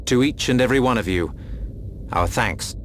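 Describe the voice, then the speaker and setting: An actor playing a dignified, cautious royal regent in a game cutscene, formal and authoritative. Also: male voice, medium pitch, animated, moderate pace, a bit bright, slight background noise, close to an everyday voice. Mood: stately. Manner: stately, formal. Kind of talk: dramatic video game cutscene acting.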